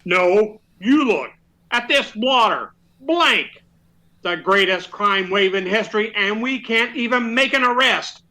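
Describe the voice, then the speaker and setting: A bossy police sergeant as played in a radio comedy blusters in a forceful, slow and emphatic delivery. The pitch swings widely with sarcasm and exasperation. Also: lively intonation. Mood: exasperated. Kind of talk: old-time radio comedy acting.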